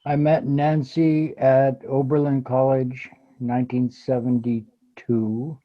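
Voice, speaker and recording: A man in his seventies sharing an unscripted personal reminiscence at an online memorial, halting with long pauses between words. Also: mid-range voice, flat delivery. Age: 70s. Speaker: memorial speaker. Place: online video call. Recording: dull, lo-fi online call audio.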